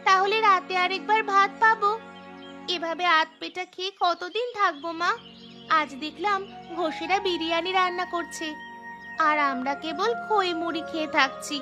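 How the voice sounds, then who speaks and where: A bold village girl from a cartoon, played by a woman, pitched high. She is the cheeky elder sister, bossing and protesting. Music runs behind her lines. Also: normal speed. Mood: complaining. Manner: bossy, protesting. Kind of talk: cartoon story dialogue.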